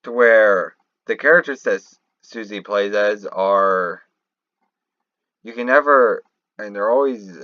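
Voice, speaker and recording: A man giving unscripted commentary on game lore in a halting, rambling way, often restarting mid-thought. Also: fairly low pitch, dry recording, lo-fi sound. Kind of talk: unscripted theorizing commentary over a screen recording.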